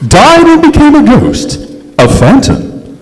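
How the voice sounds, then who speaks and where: An actor playing a pompous, melodramatic, centuries-old aristocratic English ghost in a radio play performed on stage. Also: male voice, extremely high pitch, wildly swooping pitch, slow, full character voice. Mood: wistful, delighted. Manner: grandiloquent, blustering, melodramatic. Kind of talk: old-time radio drama acting on stage. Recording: reverberant hall, live stage performance.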